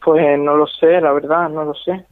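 High-voiced young man, hesitant and repetitive. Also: level tone, measured pace, no echo, narrow, tinny audio. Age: young adult. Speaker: prank call victim. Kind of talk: phone conversation.

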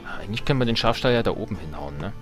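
A man's voice: lowish voice, lively intonation, racing, over music. A man giving casual, jokey gameplay commentary, slangy and often talking to himself and to his game units. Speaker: let's player.

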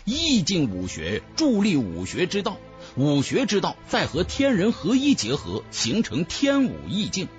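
Guy narrating a fantasy audiobook, higher voice, super expressive, music underneath. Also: a little slow. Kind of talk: dramatic scripted narration of a fantasy novel.

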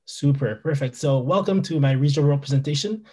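A man's voice: on the high side, a little fast, mellow, slightly rough. A young man from Quebec with a French-Canadian accent, a native French speaker, giving a friendly presentation as a biologist over a video call with a headset, with many fillers. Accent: Québécois French. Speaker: wildlife biologist guest. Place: small room indoors.

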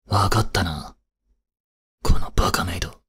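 An actor playing a cold, haughty young prince in an audio drama, with a husky, breathy voice and a curt, imperious manner. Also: male voice, deep voice, a little slow, a bit bright, full character voice. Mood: stern, scolding. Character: cold, haughty young prince scolding and fretting over his maid. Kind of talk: Japanese audio drama acting.

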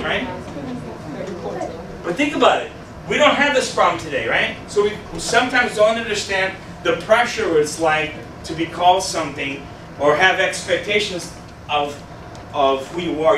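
A youth pastor in his thirties talks with a stuffed-up nose in a high voice, chatting and quizzing his listeners. The voice comes from a distant camera in an echoey room, with a steady hiss underneath. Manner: conversational, asks the audience questions, gestures widely. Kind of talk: casual youth sermon. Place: small meeting room with a youth group. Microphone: far camera, no mic.

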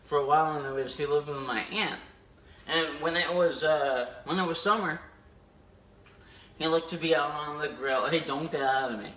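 A man's voice: on the high side, normal speed, sharp tone, distant mic. A young man telling rambling jokes to camera in his kitchen, chatty and stumbling over words. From United States. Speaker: comedy vlogger. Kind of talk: casual stand-up jokes to camera. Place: home kitchen, indoors. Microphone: phone camera a few steps away. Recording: echoey kitchen.

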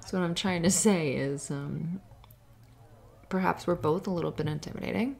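A young woman voicing a friendly, bashful cheerleader in an intimate ASMR roleplay, talking in a halting, giggly, flustered way. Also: fairly low pitch, vocal fry, darkish tone. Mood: reassuring, flustered.

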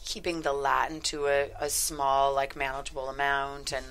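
Very low-voiced woman, halting talker. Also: little pitch movement, a bit bright. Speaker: guest screenwriter. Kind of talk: casual interview chat. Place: small podcast studio, indoors. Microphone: headset.

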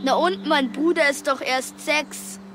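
A man's voice: very high-pitched, measured pace, crowd noise, rough recording. A young boy playing a defiant kid standing up for his little brother. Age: child.

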